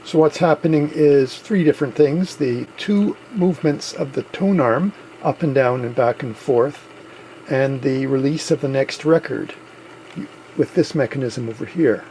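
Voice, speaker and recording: A man with a gravelly voice plainly and methodically narrating a hands-on repair as the host of a hobby video. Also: medium pitch, unhurried, dark tone, slight background noise, rough recording.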